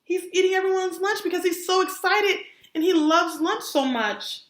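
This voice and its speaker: A woman's voice: very high voice, normal speed, a bit bright, reverberant. A woman teacher reading a children's story aloud expressively, stopping to put questions to young listeners. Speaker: kindergarten teacher.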